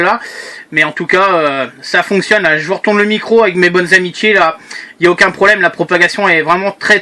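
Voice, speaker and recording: A man's voice: high-pitched, pushed and bright. A man chatting casually as an amateur radio operator, friendly and inclined to repeat himself. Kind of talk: casual chat over radio.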